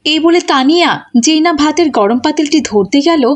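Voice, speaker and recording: Female story narrator, high-pitched, scripted storytelling delivery. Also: expressive, moderate pace.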